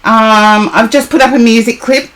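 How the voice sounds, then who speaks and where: A hoarse woman, chatting casually on a live stream as a tarot reader, greeting and answering viewers by name. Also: fairly high pitch, fairly even intonation, normal speed, a bit bright, rough recording.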